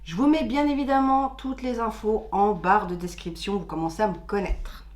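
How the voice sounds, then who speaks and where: A woman's voice: medium pitch, steady tone, normal speed. A woman, co-hosting a channel and explaining things patiently in casual chat. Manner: chatty, explains patiently with filler words. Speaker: co-host of a theme-park channel. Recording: echoey room.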